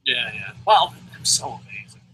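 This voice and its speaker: A man's voice: medium pitch, sing-song, normal speed, a loud crowd, rough recording. A man hosting a casual wrestling review podcast, rambling and swearing freely, with stammering restarts. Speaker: wrestling review show host. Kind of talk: casual podcast chat, profane. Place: lives in Japan.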